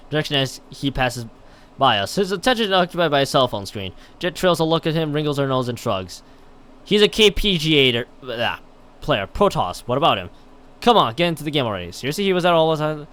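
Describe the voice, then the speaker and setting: A man with a clear, smooth, fairly high voice reads a game story aloud on a livestream. His delivery swings widely in pitch, and he trips over the text and drops in casual exclamations of his own. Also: fairly bright tone. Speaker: game streamer. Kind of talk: livestream read-aloud of a visual novel with casual reactions.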